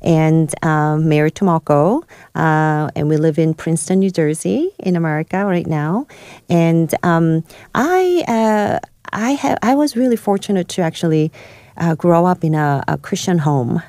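A woman from South Korea, a guest in a studio interview, telling her life story with many pauses and fillers. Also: low voice, lively intonation, mellow, dry recording. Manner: hesitant, many fillers and restarts. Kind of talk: podcast interview, personal story.

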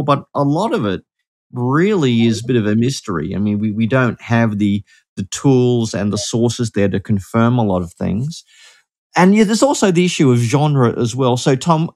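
A man hosting a talk show, calmly posing questions to his guest. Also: mid-range voice. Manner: measured, posing questions plainly. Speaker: podcast host. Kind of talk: interviewer asking questions.

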